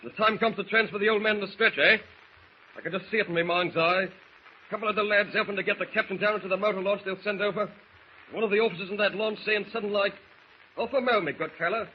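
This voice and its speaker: An actor playing a nervy, argumentative mutinous sailor with a rough, husky voice in an old radio drama. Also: male voice, high-pitched, steady tone, fast, slight background noise, lightly acted. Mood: nervy, defiant. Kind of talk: 1940s radio drama acting. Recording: muffled old radio recording.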